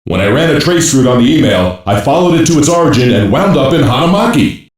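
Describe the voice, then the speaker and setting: A businesslike male cyber operative played by a man in an anime game. His voice is mid-pitched and steady, delivering procedural updates from a little way off in a very echoey space. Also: fast talker, natural voice. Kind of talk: dubbed anime game dialogue, technical report. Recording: very echoey, distant from the mic.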